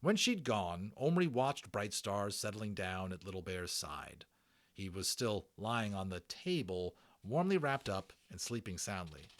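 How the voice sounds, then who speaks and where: Expressive male storybook narrator, very animated. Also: on the low side, measured pace. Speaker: audiobook narrator. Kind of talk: dramatic children's storybook reading with casual asides.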